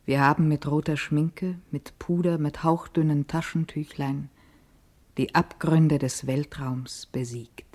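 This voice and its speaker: An actress playing a grave, resolute woman in a literary radio play, speaking solemnly and deliberately. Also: low-pitched, fairly even intonation, a little mellow. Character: grave, resolute soul musing on ageing and time.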